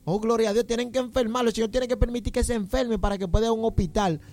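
A man's voice: very high voice, fairly even intonation, rapid-fire, a little mellow. A man preaching a fervent sermon, pleading and calling out praise between phrases. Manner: exclaims "gloria a Dios" and "aleluya" constantly, pleading. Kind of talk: fervent Pentecostal-style sermon.

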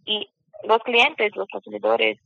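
A woman from Brazil with a Brazilian Portuguese accent, a phone guest speaking halting Spanish as a learner, stopping to search for words. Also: medium pitch, steady tone, gravelly, no echo, narrow, tinny audio. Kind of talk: phone interview. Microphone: phone line.

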